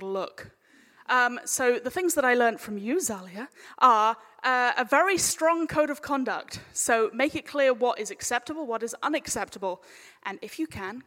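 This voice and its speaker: A woman with a fairly high voice talks on a live panel in a big reverberant room. Her delivery is chatty and informal, like casual conversation. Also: a bit bright. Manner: chatty, informal, acts out imagined quotes. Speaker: panel speaker, audio drama maker. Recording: echoey hall with long reverb.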